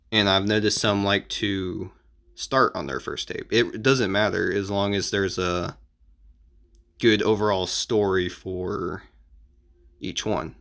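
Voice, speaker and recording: A man giving casual advice as an amateur video creator, explaining in an informal, halting and repetitive way. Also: on the low side, a bit bright.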